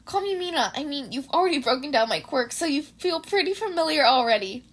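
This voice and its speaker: A woman's voice: high voice, lively intonation, brisk, a bit bright. A woman voicing a friendly, teasing teenage girl with a slangy, upbeat manner in a fan fiction reading. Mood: playful, impressed.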